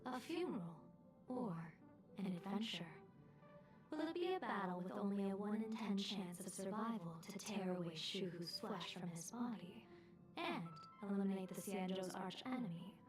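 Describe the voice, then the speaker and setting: An actress playing a calm, resolute fortune-telling strategist in a game, speaking in measured, formal lines. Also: female voice, mid-range voice, distant mic, music in the background, close to an everyday voice. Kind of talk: scripted game dialogue.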